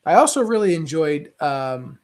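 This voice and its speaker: Man, fairly high pitch, casual panel chat, animated retelling, acted-out reactions. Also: moderate pace, heavy background noise. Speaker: discussion show panelist. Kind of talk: casual movie discussion chat.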